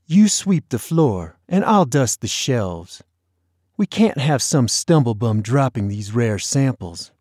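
Male narrator as teasing boy, bossy, mock-dramatic, animated pitch, audiobook dialogue. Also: slow pace, husky, no echo, clean studio sound, heavily acted.

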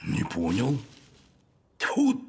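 A grumpy, bossy cartoon king grumbles his commands very slowly. His pitch swings freely, and the film clip has some noise. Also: wildly swooping pitch, vocal fry, darkish tone, full character voice. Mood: grumpy, commanding.

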